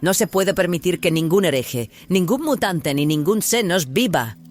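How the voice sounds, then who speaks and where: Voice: mid-range voice, expressive, moderate pace, natural voice. An actor playing a fervent, hard rebel leader in an audio drama, with an intense, declamatory delivery. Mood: fanatical fervour. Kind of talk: dramatised audio fiction dialogue in Spanish.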